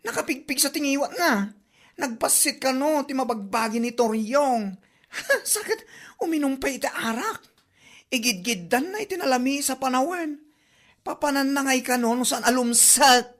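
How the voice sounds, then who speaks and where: A woman acts out a gossipy neighbor with an Ilocano accent. She chatters about the neighbors' troubles in a somewhat high voice. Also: expressive, normal speed. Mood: gossiping.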